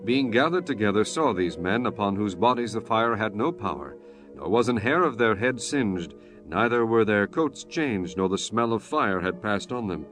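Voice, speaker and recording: A man narrating scripture from a script in a measured, solemn, formal manner. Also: on the low side, animated, a bit bright. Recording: music bed behind the voice.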